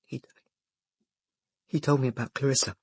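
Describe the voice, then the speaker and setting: Hesitant, polite schoolboy, close and dry. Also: male voice, mid-range voice, steady tone, moderate pace, breathy edge, dry recording. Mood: hesitant, uneasy. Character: polite teenage schoolboy, orphaned, earnest and unsure. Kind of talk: radio play acting.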